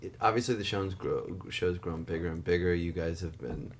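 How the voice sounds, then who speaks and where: Man, deep voice, casual interviewer, conversational studio chat. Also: moderate pace.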